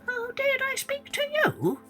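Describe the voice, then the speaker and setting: A woman's voice: very high-pitched, huge pitch swings, a little slow, bright, forceful tone, faint music behind, voice pushed up, exaggerated voice. A woman voicing a tiny, defiant gnat, cheeky and indignant, in a storybook tale.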